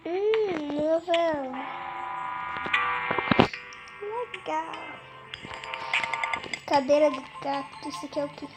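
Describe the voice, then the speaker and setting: A woman's voice: high voice, slow pace, long pauses, poor audio. A young girl with a Brazilian Portuguese accent and a cold, giving casual commentary over her own gameplay. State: stuffy with a cold. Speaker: gaming video host. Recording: loud music over the voice. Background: loud music.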